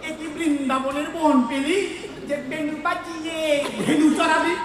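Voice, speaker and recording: A woman's voice: high-pitched, fairly even intonation, moderate pace, crowd noise, lightly acted. A woman playing an exasperated, bossy male director of an amateur drama troupe in a comic stage play, scolding with theatrical sarcasm. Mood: scornful. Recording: echoey hall from far off, music, audience noise.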